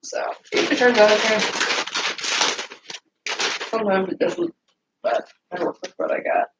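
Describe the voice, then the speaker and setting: Rambling sniffly streamer, lively pitch. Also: unhurried. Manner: rambling, apologetic, talks to self. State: sniffly, starting a cold. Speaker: streamer and writer. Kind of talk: casual chat while wrapping gifts. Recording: noisy home recording.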